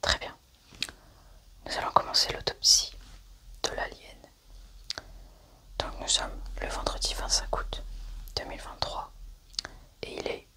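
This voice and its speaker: This is an ASMR roleplay performer speaking entirely in whispers. The delivery is soft and unhurried, acting out a scripted-feeling scene with a hushed, breath-only voice.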